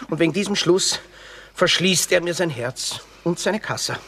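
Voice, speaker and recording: Casting: witty, bitter young Austrian drifter, higher-pitched male voice, florid theatrical delivery with dry asides, radio comedy. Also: expressive, unhurried, fairly bright tone, slight background noise. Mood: mock-learned, bitter. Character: witty, bitter red-haired young drifter, grandiloquent and sardonic. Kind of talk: classic Viennese stage comedy radio acting.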